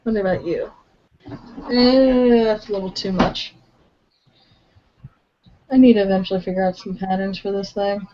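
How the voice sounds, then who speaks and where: A woman's voice: medium pitch, fairly even intonation, measured pace, long pauses, warm tone. A young woman from Louisiana, streaming her drawing and chatting casually, giggly and easily distracted. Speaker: streamer drawing art live. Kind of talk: casual chat while drawing. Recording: muffled voice chat audio.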